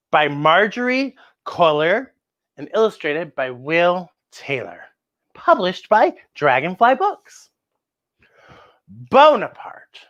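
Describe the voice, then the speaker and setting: Lady doing storytime for little kids, slow and stretchy words, super swoopy voice, asks lots of questions. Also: medium pitch, lo-fi sound. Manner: animated, exaggerated exclamations, asks listeners questions; draws out words for emphasis. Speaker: children's story time host. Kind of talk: playful read-aloud and chat to young children.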